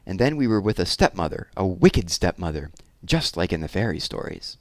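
A man's voice: low-pitched, sing-song, moderate pace, dry recording, studio quality. A man voicing a chatty, wistful, kindly hairdresser woman with a folksy, exclaiming manner in an audiobook story. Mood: reminiscent.

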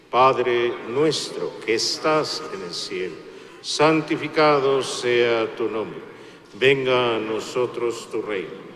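A man, a priest slowly reciting the prayers of the Mass in a church. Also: lowish voice, a bit bright. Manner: slow solemn liturgical recitation. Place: large church. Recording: long church reverb, faint noise.

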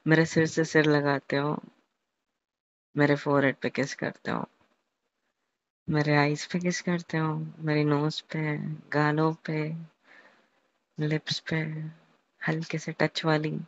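A young man in an intimate, casual phone chat, telling a romantic scenario haltingly, repeating words and mixing in English. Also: a little high, level tone. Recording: muffled lo-fi phone call recording.

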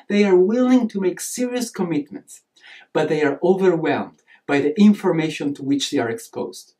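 A man in his thirties speaks with a clear Italian accent, delivering a prepared course introduction to camera. His tone is mellow and warm, and he stresses key words with earnest emphasis. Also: on the high side. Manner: earnest, animated hand gestures, emphatic delivery. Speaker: nutrition course instructor. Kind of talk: scripted lecture to camera.

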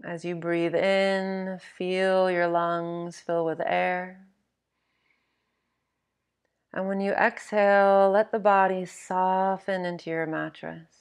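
A woman narrating a guided sleep meditation in a gentle, measured, instructional manner. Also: on the low side, flat delivery, clear, resonant tone.

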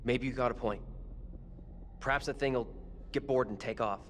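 A man's voice: medium pitch, fairly even intonation, normal speed, fairly bright tone, slight background noise, natural voice. An actor playing a rattled, sarcastic young man in a horror game, blunt and naturalistic. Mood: sarcastic, uneasy.

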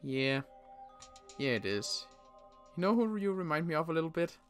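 A young man playing a weary survivor in a Minecraft roleplay, talking in halting bursts with sighs and restarts. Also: a little high, expressive, a bit bright, faint music behind. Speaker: roleplay player and channel host.

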